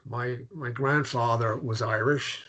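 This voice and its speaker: A man's voice: medium pitch, fairly even intonation, unhurried, bright, forceful tone. Awkward, stammering American traveller, played by a man. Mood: wistful. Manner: hesitant, stammering. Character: awkward, apologetic, melancholy American traveller from a small town. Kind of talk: drama table read.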